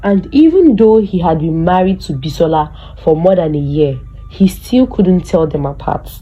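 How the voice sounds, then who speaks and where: Woman, folktale narrator, slow measured delivery, soft-edged dark tone, husky, scripted dramatic storytelling. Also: lowish voice, expressive, highs cut off, faint music behind.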